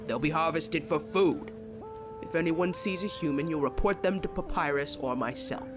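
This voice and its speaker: An actor plays a menacing monster with a dry, sardonic streak. The voice is mid-pitched and deadpan, like a grim announcement in a comic dub. Music is clearly audible behind it. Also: normal speed, close to an everyday voice. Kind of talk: dramatic scripted acting. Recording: background music under the voice.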